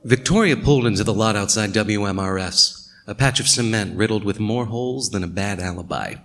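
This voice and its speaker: A man's voice: lowish voice, a little fast, fairly bright tone, faint music behind. An actor playing a world-weary, sardonic male narrator, delivering scripted noir narration in a radio play. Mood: wry, brooding.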